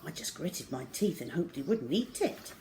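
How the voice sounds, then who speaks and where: A middle-aged woman reading a children's novel aloud to schoolchildren in a measured, deliberate way. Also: deep voice, sing-song, dark tone, a little hiss or hum. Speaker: storyteller for schoolchildren. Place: small plain room indoors.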